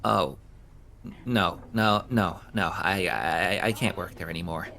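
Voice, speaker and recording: A man's voice: low-pitched, a little slow, creaky, sharp tone, full character voice. An actor playing an anxious, down-on-his-luck young man who stammers and hesitates in an audio drama. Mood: panicked.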